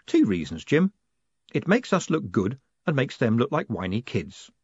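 A man voicing a quick-witted young male con man with a smooth, confident delivery, in a dull, dry recording. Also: mid-range voice, big pitch swings, no echo.

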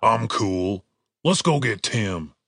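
A gruff slacker barbarian acted out by a male narrator from a comic fantasy audiobook. He talks in a low pitch with a slow, blunt, laid-back delivery, recorded close and dry. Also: expressive, fairly bright tone, dry recording, exaggerated voice. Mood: flat, unbothered.

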